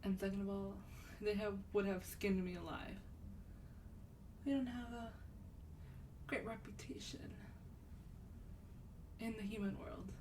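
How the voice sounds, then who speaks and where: A woman playing a sweet, wealthy vampire in a soft-spoken ASMR roleplay, breathy and often near a whisper, gently coaxing with a slightly haughty air. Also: medium pitch, fairly even intonation, a little slow, long pauses, recorded from a distance, noisy background, close to an everyday voice. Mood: wry, confessing.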